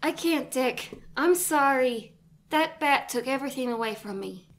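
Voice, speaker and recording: A woman's voice: high-pitched, expressive, unhurried. A woman acting a defiant, bitter female villain in a fan audio drama, with a taunting, dramatic manner. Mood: bitter, resolute.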